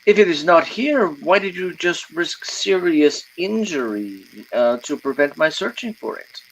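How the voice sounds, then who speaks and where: An actor playing a fussy, polite, furtive Greek crook with formal, hesitant phrasing, in old-time radio style. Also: male voice, fairly high pitch, animated, unhurried, low-quality recording. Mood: wary, courteous. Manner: formal, hesitant, over-precise.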